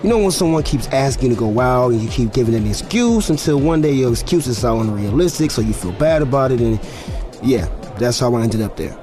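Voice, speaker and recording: A man's voice: mid-range voice, expressive, brisk, over music, close to an everyday voice. An actor playing a guarded young football player in his twenties, confiding in a conversational, slightly hesitant audio drama narration. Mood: reflective, confiding.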